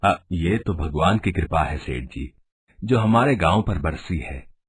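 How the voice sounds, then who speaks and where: Man acting village elder figure, husky, lowish pitch, very expressive, authoritative, storybook drama. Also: unhurried, a little hiss or hum. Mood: calm, principled. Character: principled, authoritative village headman and stern villagers. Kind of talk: animated storybook character acting.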